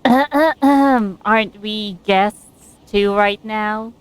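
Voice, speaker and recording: Slow-paced, clear-voiced woman streamer. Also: medium pitch. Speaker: game streamer. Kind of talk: casual live commentary on a game.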